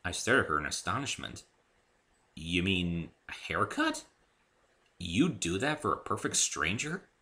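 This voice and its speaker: A man giving an expressive first-person dramatic reading of scripted fiction as its narrator. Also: sits low, a little slow. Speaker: author reading own story.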